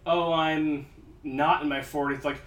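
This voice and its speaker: A man's voice: on the high side, steady tone, unhurried, fairly bright tone. A man in his forties in casual chat, wry and teasing, laughing at his own remarks. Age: 40s. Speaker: writers' guild leader. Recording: roomy echo, distant mic, faint hiss.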